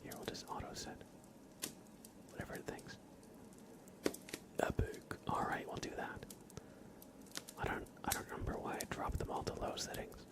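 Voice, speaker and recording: A man hosting an ASMR livestream, chatting casually and gently with viewers in a soft, breathy whisper. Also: normal speed, long pauses. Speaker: live ASMR streamer and host.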